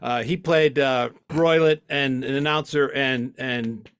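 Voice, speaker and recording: A man's voice: mid-range voice, fairly even intonation, unhurried, fairly bright tone, smooth, no room sound. A man speaking as a show's director and announcer, giving a casual, joking, rambling sign-off with mock exasperation. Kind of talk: casual live show sign-off.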